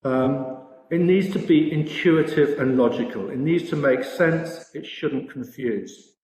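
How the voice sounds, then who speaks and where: A British man presenting at an industry conference with a slide talk, speaking haltingly and repeating words now and then. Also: medium pitch, a little mellow. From Britain. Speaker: industry conference presenter, sportsbook products director. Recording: echoey room with long reverb.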